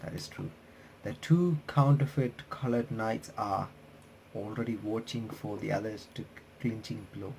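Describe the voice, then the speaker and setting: A man's voice: on the low side, vocal fry, darkish tone, slight background noise, low-quality recording. A man reading visual novel text aloud as a playthrough host, with casual asides, often stumbling and restarting his sentences. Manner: halting, stumbles over words and restarts.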